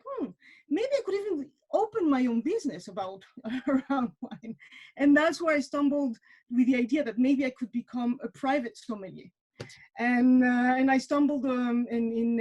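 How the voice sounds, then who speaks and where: A woman's voice: a little high, dark tone, lo-fi sound. A woman in her forties from Mexico, talking casually as a webinar guest, with many fillers and repeated words. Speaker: webinar guest, wine industry professional.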